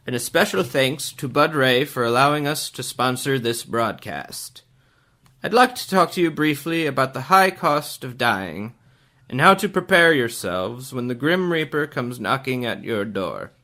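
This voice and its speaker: An actor playing a blunt insurance executive reading a grave, deliberate radio sponsor's advertisement. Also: male voice, mid-range voice, sing-song, pushed and bright, exaggerated voice. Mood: solemn salesmanship. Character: blunt, solemn male insurance executive giving a sponsor's pitch.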